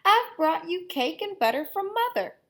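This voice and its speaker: A woman's voice: extremely high pitch, sing-song, normal speed, a bit bright, pitched above the performer's own voice, heavily acted. A woman voicing a hungry, scheming wolf who tells his own storybook tale in a sly, wry way. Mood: squeaky disguise. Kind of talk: storybook read-aloud.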